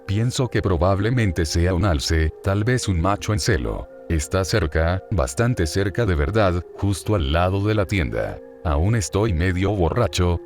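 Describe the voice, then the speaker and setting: Deep-voiced man narrating horror stories. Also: a little mellow. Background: background music.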